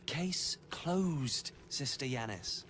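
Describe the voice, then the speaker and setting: A woman's voice: extremely low pitch, lively intonation, very slow, fairly bright tone, a little hiss or hum, full character voice. A woman playing a brusque, dismissive investigator in a game, with curt, weary, declarative delivery. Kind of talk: dramatic game voice acting.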